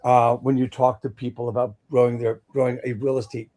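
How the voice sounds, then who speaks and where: A middle-aged male host with a mellow, darker tone at a middle pitch. He rambles and hesitates, filling gaps with "you know" and "uh" as he asks questions. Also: little pitch movement, brisk. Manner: rambling, hesitant, many fillers. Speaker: host, longtime landlord. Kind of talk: casual interview talk.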